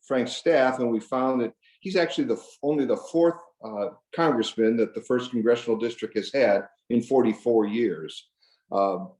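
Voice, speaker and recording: A man's voice: medium pitch, darkish tone, reverberant, poor audio. A man introducing a guest speaker at a club meeting, hesitant with many fillers.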